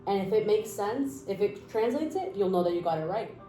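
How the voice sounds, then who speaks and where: A woman's voice: mid-range voice, expressive, fairly quick, dark tone. A young woman from Mexico, giving a casual spoken language lesson in a chatty, encouraging way with fillers and asides. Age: 20s. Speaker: language teacher. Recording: roomy echo with faint music.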